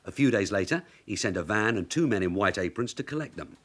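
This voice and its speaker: An actor playing a shrewd veteran London detective sergeant in a radio drama, dry, knowing and informal. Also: male voice, fairly low pitch, expressive, quick pace. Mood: wry, knowing. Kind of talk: British radio drama acting.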